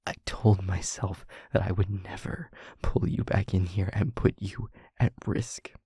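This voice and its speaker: A man playing an anguished, trapped demon confessing to a loved one in an intimate audio roleplay, speaking haltingly in a breathy, gravelly half-whisper. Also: low-pitched, steady tone, dark tone, no echo, studio quality, heavily acted. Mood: despairing. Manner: halting, slow, emotionally weighted delivery.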